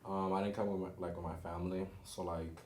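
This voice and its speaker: A man's voice: low voice, flat delivery, fast, echoey room, crowd noise. A young man from Florida chatting casually as a co-host, laid-back and full of slang. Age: young adult. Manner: laid-back slang, says "bro" a lot. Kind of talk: casual chat.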